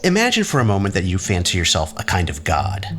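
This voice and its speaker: A low-voiced, menacing man of science played by a male actor in an audio drama. His delivery swings widely, sardonic and taunting, as he probes with rhetorical questions. Music plays under him. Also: wildly swooping pitch, quick pace, a bit bright, full character voice. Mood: probing, goading.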